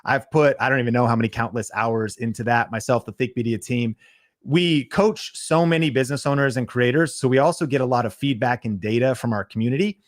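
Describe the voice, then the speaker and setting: A podcast host giving an energetic sales pitch on a livestream, talking straight to his viewers. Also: fairly quick, no room sound, studio quality.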